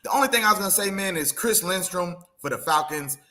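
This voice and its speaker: Animated man. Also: sits high. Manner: animated, fast opinions, says "you know what I'm saying". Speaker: sports podcast co-host. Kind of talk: casual sports talk.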